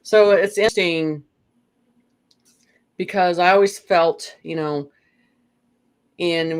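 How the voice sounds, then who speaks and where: A guest in a casual spiritual conversation, rambling along with lots of "you know" and restarts. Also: mid-range voice.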